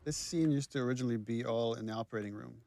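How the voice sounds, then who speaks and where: A man's voice: medium pitch, rapid-fire, gravelly, warm tone. A man giving casual director's commentary on a game in a thoughtful, explanatory way.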